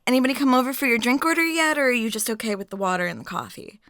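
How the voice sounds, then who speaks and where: Giggly, flirty woman with a creaky edge. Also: on the high side, expressive, rapid-fire, fairly bright tone. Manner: giggly, chatty, casual, flirtatious. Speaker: audio roleplay performer. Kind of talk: intimate ASMR-style roleplay monologue.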